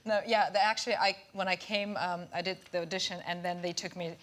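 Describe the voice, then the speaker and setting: An actress on a talk show sofa, telling a story with laughter and pausing to search for words. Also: female voice, on the low side, level tone, a bit bright. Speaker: guest actress. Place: TV studio with audience.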